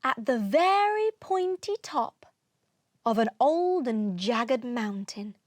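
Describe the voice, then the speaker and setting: A woman narrates a children's storybook in rhyming verse. Her voice is high and her delivery is slow, expressive and rhythmic. The recording is close and dry. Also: dry recording. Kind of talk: expressive children's read-aloud.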